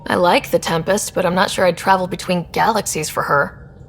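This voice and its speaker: A woman's voice: lowish voice, fairly even intonation, a little fast, faint music behind, close to an everyday voice. A woman playing a curious, friendly young space explorer who leads a crew in a video game. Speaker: expedition leader.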